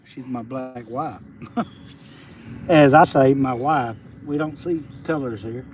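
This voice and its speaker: Folksy Southern man in his fifties. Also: medium pitch. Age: 50s. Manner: rambling, folksy, halting restarts. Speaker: homesteading livestream host, logger. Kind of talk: casual outdoor livestream chat. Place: outdoors on a rural roadside. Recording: outdoor noise with birds. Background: birds and rural outdoor ambience.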